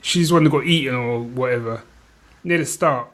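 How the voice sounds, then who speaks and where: A man co-hosting a podcast, chatting casually about TV shows in a slangy, animated storytelling way, often checking that his listener follows. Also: medium pitch, normal speed. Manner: animated retelling, slangy, peppered with "you know what I mean". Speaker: podcast co-host.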